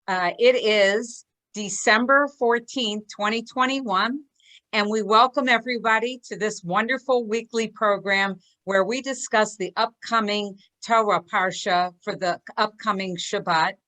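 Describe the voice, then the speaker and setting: A woman hosting a study session, giving welcoming announcements in a polite, measured way. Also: mid-range voice, pushed and bright, low-quality recording. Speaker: host introducing a study session. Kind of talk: welcoming announcements to an online group.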